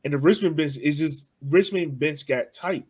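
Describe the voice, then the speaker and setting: A young man co-hosting a casual sports podcast, talking loosely with frequent laughs and soft trailing asides. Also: on the high side, moderate pace, slightly rough. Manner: loose and chatty, laughs often, trails off softly. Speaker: podcast co-host.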